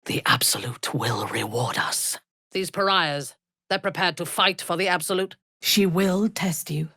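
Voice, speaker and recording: A woman playing a commanding, ruthless zealot warrior in a video game, speaking with a low husky voice and a measured, imperious, menacing manner. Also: bright, forceful tone, no echo, clean studio sound. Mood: devout, intense. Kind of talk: video game character voice lines.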